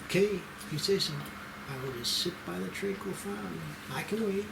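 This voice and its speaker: A man's voice: sits high, normal speed. A man playing a self-assured, wry special agent from child services in an amateur screenplay table read. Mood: wry, easy. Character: cocky, unflappable male federal child services special agent. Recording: roomy echo with clear noise.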